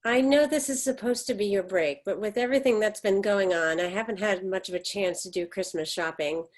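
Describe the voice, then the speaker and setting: A woman's voice: medium pitch, brisk, natural voice. A woman playing a stoic, controlling middle-aged American mother in a play reading, speaking in a firm, insistent way. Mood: brisk, controlled. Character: stoic, controlling 48-year-old American mother, curt and short-tempered.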